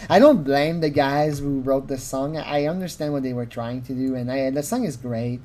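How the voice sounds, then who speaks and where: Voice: medium pitch, mellow. Video commentator, mid-range voice, informal and rambling, giggly, given to mocking impressions. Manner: giggly, often laughing through sentences; rambling, with acted-out mocking quotes. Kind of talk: informal spoken commentary on a recording.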